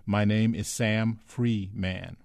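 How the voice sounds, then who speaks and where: A man voicing a proud, literate freed slave in a novel reading, speaking in a deliberate, reasonable, precise manner. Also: medium pitch, darkish tone, no room sound, clean studio sound, close to an everyday voice. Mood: guarded pride.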